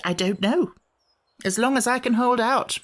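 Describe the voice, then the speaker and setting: A man voicing a cranky, put-upon little furry wish-granting creature from a storybook, with a shrill voice that cracks when worked up. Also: normal speed, a bit bright. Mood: resigned. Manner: indignant, complaining.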